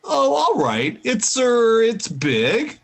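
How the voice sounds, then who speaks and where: A man's voice: extremely high pitch, huge pitch swings, slow, vocal fry, full character voice. A man playing a teasing, corny husband in a 1940s-style radio comedy, playful and hammy.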